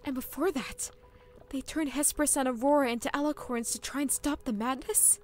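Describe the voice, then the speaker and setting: A woman's voice: high-pitched, steady tone, a little fast, close to an everyday voice. A woman voicing a young female demon pony heroine in a fan audio drama, earnest and anxious. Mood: puzzled. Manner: anxious and earnest, often bursting out. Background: dramatic music.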